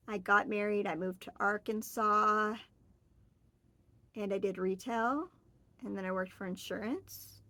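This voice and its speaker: A woman's voice: medium pitch, fairly bright tone, dry recording. A woman in her forties from Oregon, chatting on her own to camera in a rambling, friendly way. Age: 40s. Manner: chatty, rambling, friendly, with fillers and self-corrections. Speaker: vlogger. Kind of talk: casual solo chat to camera.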